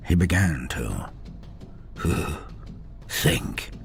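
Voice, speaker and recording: A man's voice: rumbling low, very animated, very slow, vocal fry, fairly bright tone, faint music behind. A man reading a fantasy story aloud with a dramatic delivery, his voice rising and falling through the telling. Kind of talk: scripted fantasy story narration.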